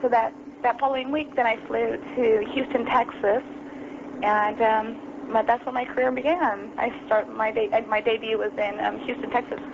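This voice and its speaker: A woman's voice: fairly high pitch, steady tone, moderate pace. A young adult woman telling her story as an interview guest over the phone, casual and full of ums and you-knows. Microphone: phone call. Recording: lo-fi phone line, noisy.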